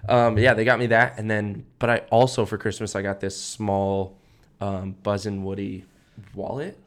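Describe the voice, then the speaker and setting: A man's voice: on the low side, level tone, moderate pace. A man in his twenties chatting casually as a podcast co-host, rambling and repeating himself with lots of filler words. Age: 20s. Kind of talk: casual chat about films.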